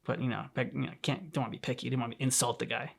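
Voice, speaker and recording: A man's voice: mid-range voice, flat delivery, rapid-fire, vocal fry. Casual man talking in a meandering way. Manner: hesitant, meandering. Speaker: co-host of a reaction channel. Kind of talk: casual chat, commentary on game cutscenes.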